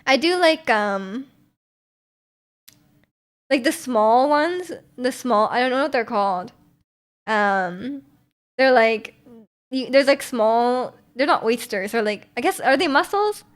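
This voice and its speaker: A woman's voice: fairly high pitch, animated, slow, pauses often. A young woman streamer in casual chat, rambling through viewer comments with lots of fillers and restarts. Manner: rambling, many fillers and restarts, frequent laughter.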